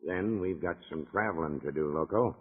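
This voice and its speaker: An American man playing a cold, calculating outlaw gang boss in a radio western, with a husky, hard, commanding voice. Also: deep voice, moderate pace. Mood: cold, scheming. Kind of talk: 1950s radio serial acting. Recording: dull, reverberant old radio recording.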